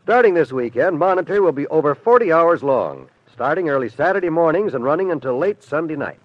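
A man's voice: fairly high pitch, expressive, fairly quick, no echo. An American man announcing on 1950s radio with polished, emphatic, scripted salesmanship. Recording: dull vintage radio recording. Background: music.

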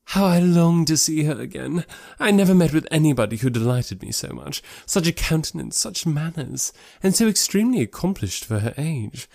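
A man's voice: on the high side, very animated, moderate pace, gravelly, few pauses, a little mellow, dry recording, studio quality, voice pushed up. A man voicing a haughty, catty young society lady in an audiobook, with an affected, snobbish and emphatic delivery. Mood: gushing. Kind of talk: classic novel audiobook character voice.

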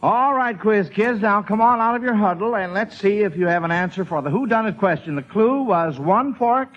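A man's voice: high-pitched, expressive, normal speed. A man hosting a 1940s radio quiz show in a folksy, hesitant, rambling way. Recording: dull vintage radio broadcast recording.

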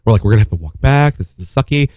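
A man's voice: mid-range voice, lively intonation, racing, darkish tone, dry recording, clean studio sound. A man telling a long story in casual chat, rambling with lots of fillers and restarts. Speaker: podcast co-host telling a story.

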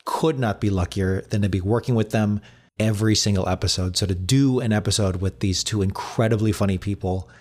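A man's voice: on the low side, a little mellow. Crude laughing man on a podcast. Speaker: editor and guest co-host. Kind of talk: casual comedic chat.

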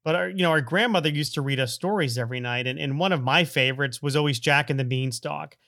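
A man's voice: fairly high pitch, quick pace, vocal fry, pushed and bright. A middle-aged man hosting a podcast, rambling and joking, often laughing and mocking himself. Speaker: podcast host. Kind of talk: casual rambling intro.

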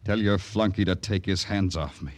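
An actor playing a terse, grim New York police detective in an old radio crime drama, with a clipped, world-weary delivery. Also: male voice, low voice, moderate pace, close to an everyday voice. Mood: tense, demanding. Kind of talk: 1940s-50s radio crime drama acting. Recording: old radio broadcast, music underneath.

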